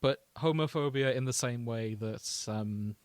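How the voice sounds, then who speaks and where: Casual male podcast co-host, low-pitched and dry-sounding. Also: measured pace, clear, resonant tone, no room sound. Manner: laughs often, informal and rambling.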